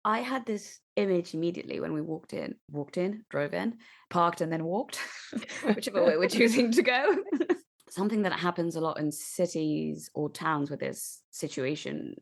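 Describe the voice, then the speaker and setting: A woman with a fairly low voice and plenty of vocal fry takes part in a tabletop roleplaying game. She talks through ideas in a measured, reflective way. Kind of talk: thoughtful conversational game talk.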